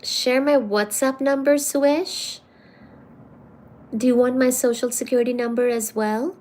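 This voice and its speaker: A woman's voice: high voice, fairly even intonation, a little slow, a little mellow. A woman hosting a livestream, chatting casually and teasingly as she reads out viewer comments. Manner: playful and teasing, calls viewers darling. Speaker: livestream host.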